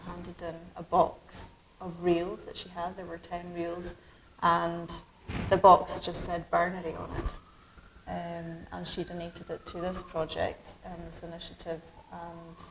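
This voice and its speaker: Scottish woman, low voice, thoughtful. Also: noisy background. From Scotland. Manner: thoughtful, many ums and restarts. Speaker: documentary director. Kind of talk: interview answers.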